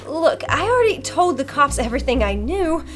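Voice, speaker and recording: A woman's voice: high-pitched, very animated, a little fast. A woman playing a nervous, defensive young woman in a radio play, stammering and evasive. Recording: music bed under voice, amateur audio.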